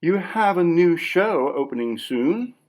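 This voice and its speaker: Man playing a nervous, polite interviewer, lifted pitch. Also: very animated, a little slow, a little mellow. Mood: tentative. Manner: tentative, formal, questioning. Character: nervous, polite, hesitant interviewer questioning an artist.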